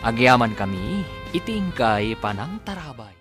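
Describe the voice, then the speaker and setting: Filipino radio drama announcer guy, slow and dramatic, big swings, guitar music behind. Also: medium pitch, very animated.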